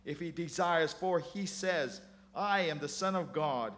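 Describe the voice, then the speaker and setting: A man voicing mocking chief priests who jeer at a condemned man, drawing out the taunts slowly in a raised voice. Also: on the high side, fairly bright tone. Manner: mocking, drawn-out.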